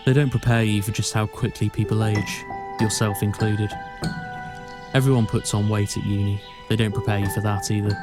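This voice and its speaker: An actor playing a reflective, melancholy young male student in a scripted film voice-over, speaking in a measured way. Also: lowish voice, flat delivery, lightly acted. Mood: regretful. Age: young adult. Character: wistful young male student returning home. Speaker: narrator and lead of a short film. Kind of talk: scripted poetic voice-over narration. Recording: loud music under the voice. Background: prominent music.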